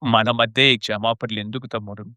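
A man's voice: fairly low pitch, steady tone, fairly quick, sharp tone, no echo, studio quality. A man playing a mild, self-sacrificing elder brother who keeps the peace in a family story. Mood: forgiving. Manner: conciliatory.

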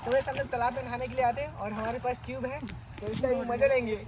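A young man filming a casual outdoor vlog at a village pond with a handheld phone, talking excitedly and calling out to friends. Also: very high-pitched, fast talker, background noise. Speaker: vlogger.

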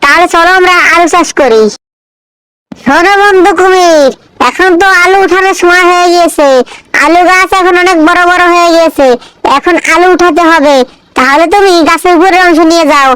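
A performer voicing a cunning, smooth-talking cartoon fox who coaxes with false friendliness. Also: extremely high pitch, fairly quick, bright, forceful tone, slight background noise, rough recording. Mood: coaxing, friendly. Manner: coaxing, wheedling, falsely friendly. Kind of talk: children's folk-tale cartoon dialogue.

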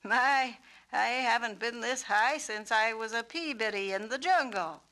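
A woman voicing a gentle, humble storybook peacock who muses slowly and wistfully. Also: on the high side, voice pushed up. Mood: amazed. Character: gentle, wounded, humble invisible peacock, musing storybook bird.

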